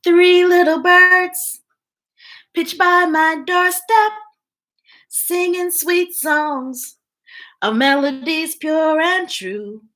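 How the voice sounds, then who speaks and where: Bright, high-voiced woman reading aloud. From United States. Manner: sings the book text with playful scat sounds; chatty asides between readings. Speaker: children's book reader. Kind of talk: live-streamed storybook reading.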